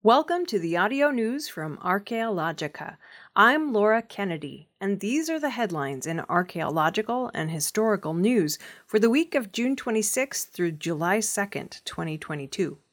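A woman's voice: mid-range voice, big pitch swings, moderate pace. Female news reader, mid-range voice, for scripted narration of news reports.

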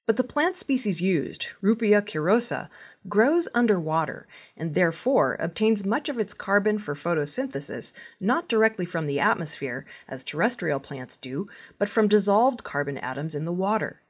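A woman reading scripted news as a presenter, in a measured, formal newsreader manner. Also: on the low side, slightly rough.

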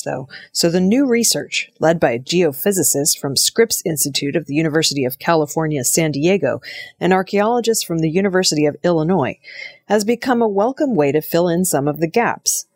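Female newsreader, lowish register, measured formal scripted narration, dry recording. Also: lively intonation, darkish tone, no room sound. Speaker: audio news reader.

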